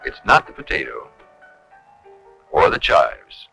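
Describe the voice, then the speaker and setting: A man's voice: slow, sharp tone. An American man reading scripted narration for a vintage educational film. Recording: 1970s educational film soundtrack, faint music.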